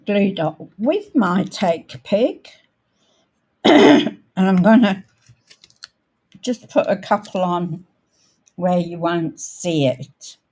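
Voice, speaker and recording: A woman with a croaky voice hosting a casual live craft demonstration, thinking aloud as she works and sometimes clearing her throat. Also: on the low side, lively intonation, darkish tone. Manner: unhurried, thinking aloud while working. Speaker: craft tutorial host.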